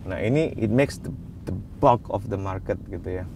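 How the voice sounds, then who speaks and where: Low-voiced man, relaxed. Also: normal speed, noisy background. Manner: relaxed, mixes English phrases into speech. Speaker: wine expert guest. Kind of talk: casual interview answers.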